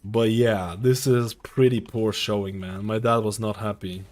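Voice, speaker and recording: A man's voice: fairly low pitch, fairly even intonation, a little slow, a little mellow, faint music behind. A man in his thirties from Lithuania, a streamer chatting casually with his viewers, rambling and repeating himself with lots of fillers. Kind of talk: casual live-stream chat. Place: home streaming room.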